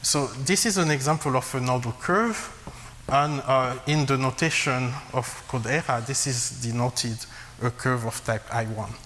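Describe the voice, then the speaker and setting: A man who grew up in Congo giving a math lecture, halting with frequent fillers and restarts. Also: mid-range voice, fairly bright tone. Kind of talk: academic lecture at a blackboard. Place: lecture hall. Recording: long reverb, faint hiss.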